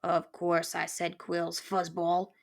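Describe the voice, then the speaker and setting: A woman voicing a cantankerous old male porcupine in a storybook, growling colorful, folksy insults in a gravelly voice. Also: lowish voice, completely flat delivery, slow. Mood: grouchy, grumbling. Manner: barking colorful insults, folksy slangy speech.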